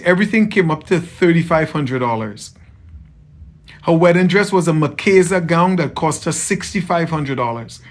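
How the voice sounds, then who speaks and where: A man from Trinidad with a softened Trinidadian accent, chatting casually with viewers on a livestream as a tailor, friendly and often repeating himself. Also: on the high side. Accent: Trinidadian, softened toward American. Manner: friendly, repeats phrases, greets viewers by name.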